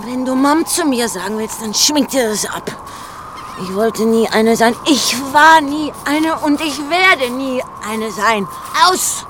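A woman's voice: sits high, animated, slow. An actress playing a bitter, whining, theatrical widow who pines for her lover in a radio drama. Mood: grumpy, dismissive. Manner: curt, dismissive, theatrical. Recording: stormy wind noise behind voice.

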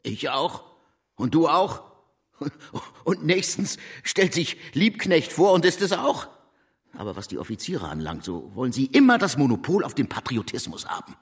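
An actor playing a wary, shrewd head of government in a German radio drama, sceptical and dry in manner. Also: male voice, on the high side, very animated, moderate pace, gravelly, breathy edge, heavily acted.

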